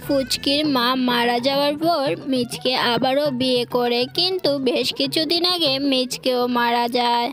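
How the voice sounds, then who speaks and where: A woman with a high-pitched voice narrates a scripted cartoon tale. Music is clearly heard behind her. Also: fairly even intonation, normal speed, few pauses, fairly bright tone.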